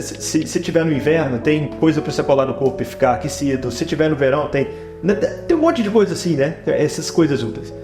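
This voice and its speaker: Brazilian man, slightly high voice, conversational, explanatory, frequent tag questions, clear guitar music behind. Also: echoey room. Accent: Brazilian Portuguese. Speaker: interview guest, photographer and production director. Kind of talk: conversational interview answers with personal anecdotes.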